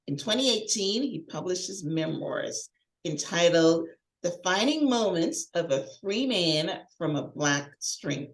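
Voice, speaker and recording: A woman from Guyana hosting an interview podcast, reading an introduction and chatting with quick, lively questions. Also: fairly low pitch, distant mic. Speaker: podcast host. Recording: echoey room, voice a bit off-mic.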